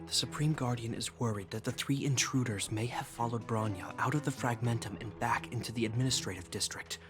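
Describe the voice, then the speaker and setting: An actor playing a dutiful, earnest younger brother and military officer in a video game, measured, formal and polite. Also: male voice, medium pitch, close to an everyday voice. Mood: reluctant, serious. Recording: music clearly behind the voice.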